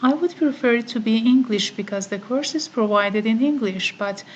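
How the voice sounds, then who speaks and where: A woman whose first language is Farsi, giving a step-by-step online course tutorial, talking methodically and pausing on "uh" often. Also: on the high side, lo-fi sound, a little hiss or hum. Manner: slow, methodical, with frequent "uh" pauses. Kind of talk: screen-recorded software tutorial.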